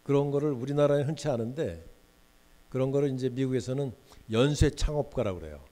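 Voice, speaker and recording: A man's voice: medium pitch, a little fast, dark tone. A man in his sixties giving a guest lecture in a university hall, chatty and often putting questions to the audience. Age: 60s.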